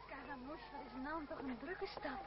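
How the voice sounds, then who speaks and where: Actress as high-voiced, spirited young sweetheart. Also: female voice, moderate pace, close to an everyday voice. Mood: affectionate. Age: young adult. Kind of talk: radio play acting. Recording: dull, very noisy old radio recording.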